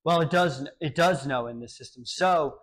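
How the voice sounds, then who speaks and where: A man in his thirties gives an animated meetup talk in a small cafe, his voice sitting a bit high. He often acts out little example exchanges as he explains. The sound comes from a camera set up some distance away. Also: moderate pace. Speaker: meetup speaker. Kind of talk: technical talk with Q&A.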